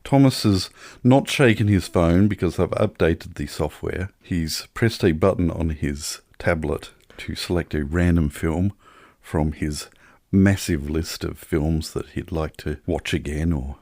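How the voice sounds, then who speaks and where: A man's voice: deep voice, a little slow, vocal fry, a little mellow, no echo, clean studio sound. A man co-hosting a casual film podcast in a jokey way, often on the edge of laughter. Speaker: podcast co-host.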